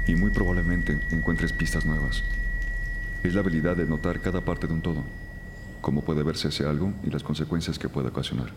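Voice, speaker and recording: An actor playing a brisk, analytical forensic investigator in a crime audio drama, explaining methodically. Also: male voice, deep voice, very noisy, lightly acted.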